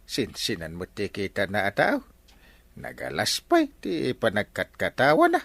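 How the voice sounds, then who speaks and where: A man's voice: on the low side, wildly swooping pitch, measured pace, gravelly, a bit bright, no room sound, full character voice. A man playing a boastful, hot-headed, comical village captain in an Ilocano radio drama, blustering and self-important with a Filipino accent. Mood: boastful, bossy. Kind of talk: comedic radio drama acting.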